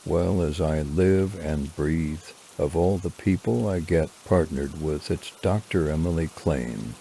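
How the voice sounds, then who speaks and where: Gravelly, very deep man playing gruff detective. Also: slow, exaggerated voice. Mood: sardonic, grumpy. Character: gruff, sardonic male detective, bitter toward his ex. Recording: clear rain noise behind the voice.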